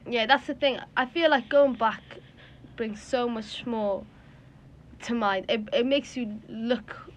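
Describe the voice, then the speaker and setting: A woman's voice: on the high side, slow. A young woman, leading a casual group discussion and rephrasing as she goes. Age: young adult. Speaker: discussion host. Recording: clear background noise and room ambience.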